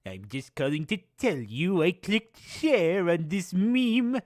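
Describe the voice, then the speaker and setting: A man doing a gloating, slow-talking warlord. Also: high voice, sing-song, no echo, studio quality, heavily acted. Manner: taunting, drawn-out gloating. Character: smug, scheming male warlord with a heavy put-on foreign accent. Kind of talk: comedic game-character voice lines.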